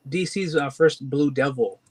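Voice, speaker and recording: A man's voice: fairly high pitch, a little slow, breathy edge. A man in his twenties, a comic collector, talking casually and hesitantly with many fillers and restarts. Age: 20s. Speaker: guest collector. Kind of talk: casual chat.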